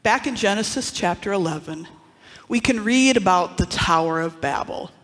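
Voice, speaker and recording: A preacher from northern Wisconsin giving a Pentecost-style sermon, speaking with dramatic pauses and dropping into hushed asides. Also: medium pitch, animated. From northern Wisconsin, USA. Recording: long reverb of a large hall.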